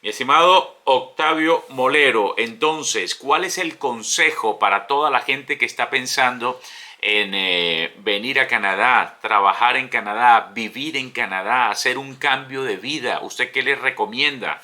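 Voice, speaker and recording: A man's voice: medium pitch, unhurried, sharp tone. A man hosting a casual interview, affirming his guests and promoting his channel. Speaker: host.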